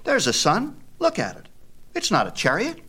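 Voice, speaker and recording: A man's voice: high voice, huge pitch swings, moderate pace, bright, forceful tone, husky, pitched above the performer's own voice, full character voice. A man voicing a proud, defensive young boy, earnest and stubborn, in a mythology storybook. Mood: defensive bluffing. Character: proud, defensive boy bluffing about his divine father.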